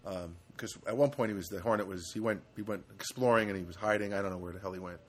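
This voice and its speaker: A man hosting a casual solo podcast from a studio apartment, rambling and halting with many fillers. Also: on the low side, slight background noise. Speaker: podcast host.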